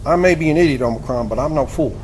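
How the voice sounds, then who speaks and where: An actor playing a plainspoken, wary recruit to a secret mission in an audio drama, earnest and questioning. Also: male voice, fairly high pitch, quick pace, darkish tone, slight background noise, lightly acted.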